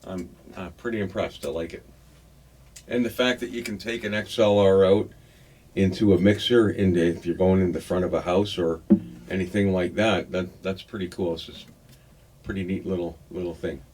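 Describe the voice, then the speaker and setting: A man's voice: low-pitched, steady tone, moderate pace, darkish tone. A man casually reviewing music gear, thinking aloud in a hesitant, unscripted way. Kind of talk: casual unboxing and gear demo. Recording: clearly noisy room recording.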